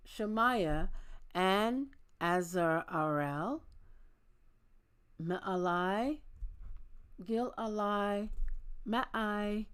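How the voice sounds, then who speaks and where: A woman hosting a Bible reading, speaking in a measured, deliberate manner as she reads aloud and talks informally to listeners. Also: medium pitch, clean tone. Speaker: host of a daily Bible reading. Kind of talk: reading scripture aloud with casual asides.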